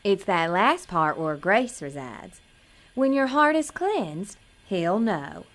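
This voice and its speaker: A woman playing an innocent, earnest small-town pageant girl in an audio drama, self-doubting and gentle. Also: medium pitch, sing-song, takes it slowly, full character voice. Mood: earnest, consoling.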